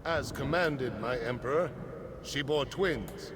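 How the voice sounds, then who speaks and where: An actor playing a solemn, zealous high priest with a reverent, ceremonial manner in a fantasy game. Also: male voice, slow, close to an everyday voice. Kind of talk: fantasy video game voice acting. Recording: long hall reverb, noisy ambience.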